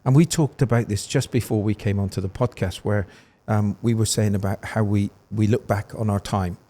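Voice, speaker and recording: A man's voice: on the low side, steady tone, normal speed, dark tone. A man hosting a podcast interview, talking in an emphatic, encouraging way. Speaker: podcast host. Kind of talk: conversational interview.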